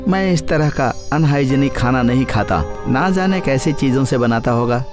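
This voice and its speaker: A man voicing a snobbish wealthy business client in an animated story, with a haughty, critical manner. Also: medium pitch, normal speed, over music, close to an everyday voice. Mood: disdainful. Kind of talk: animated moral story dialogue.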